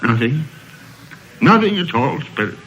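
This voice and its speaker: An actor playing an old male miser, regretful and pleading, in theatrical, halting 1930s radio drama style. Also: a little high, very animated, fairly bright tone. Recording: muffled old radio broadcast, faint music.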